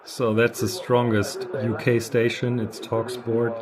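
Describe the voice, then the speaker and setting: A man giving a casual product review in a hesitant, halting, unscripted way. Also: mid-range voice, level tone, a crowd in the background. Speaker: radio reviewer.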